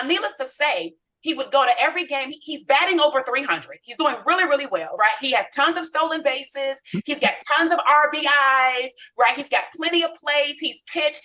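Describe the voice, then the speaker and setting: Bright-voiced mother giving a pep talk. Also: sits high, distant mic, low-quality recording. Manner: conversational, checks in with "right?". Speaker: team leader on a training call. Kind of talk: motivational talk. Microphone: video call.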